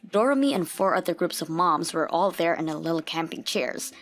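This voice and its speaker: A woman's voice: lowish voice, lively intonation, brisk, soft background music. A woman reading scripted story narration for a manga dub.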